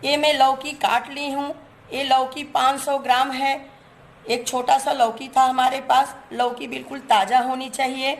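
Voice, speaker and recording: A woman's voice: high voice, creaky, poor audio. A woman, hosting a cooking channel and chatting through each step while she shows her ingredients in a home kitchen. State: hoarse from a cold.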